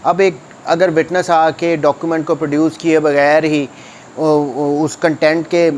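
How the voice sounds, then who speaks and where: A man's voice: on the high side, little pitch movement, unhurried, a little mellow, a little hiss or hum. A man with a Pakistani accent giving an explanatory law lecture in a didactic way, repeating key points for emphasis. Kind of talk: explanatory law lecture, reading statutes and paraphrasing them.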